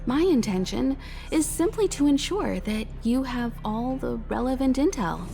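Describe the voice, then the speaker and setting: A woman's voice: high voice, animated, normal speed, creaky. A woman playing a composed, worldly mentor in a video game, courteous and diplomatic. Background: faint game music.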